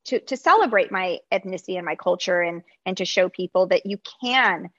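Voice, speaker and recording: A woman's voice: fairly low pitch, a little fast, a bit bright, dry recording, highs cut off. A woman host, a native Greek speaker from the United States, talking in a rambling, conversational way with many restarts. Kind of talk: conversational interview.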